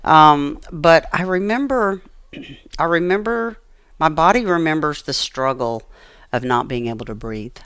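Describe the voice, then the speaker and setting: A woman's voice: low-pitched, lively intonation, unhurried, fairly bright tone, clean tone, no echo, clean studio sound. An American woman telling personal stories as an interview guest, earnest and emphatic, repeating phrases for emphasis. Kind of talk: personal storytelling in conversation.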